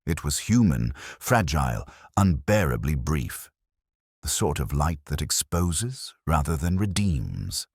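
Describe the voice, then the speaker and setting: A man with an extremely deep voice narrates a detective mystery in the first person, slow-minded and deliberate in his phrasing. His pitch still moves expressively from line to line, and the sound is dry, with no room around it. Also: no echo. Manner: measured, deliberate, brooding.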